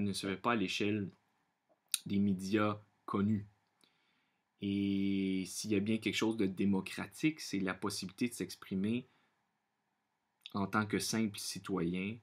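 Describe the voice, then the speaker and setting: A man from Quebec with a Quebec French accent, speaking earnestly and off the cuff as a vlogger, often pausing and repeating himself as he searches for words. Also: fairly low pitch. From Quebec, Canada. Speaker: vlogger sharing personal opinions. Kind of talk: unscripted monologue to camera.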